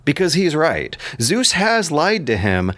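A man's voice: a little high, huge pitch swings, unhurried, a bit bright, dry recording. A man delivering scripted literary commentary as a host, in an erudite, emphatic and enthusiastic manner.

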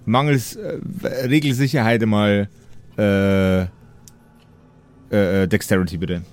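A man's voice: on the low side, steady tone, slow pace. A man working as game master, narrating an improvised tabletop role-playing game.

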